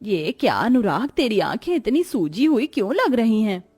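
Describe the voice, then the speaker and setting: A woman's voice: fairly high pitch, very animated, normal speed, darkish tone, breathy edge, soft background music. A woman voicing a bossy, scolding middle-aged mother-in-law in a dramatized moral story. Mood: concerned.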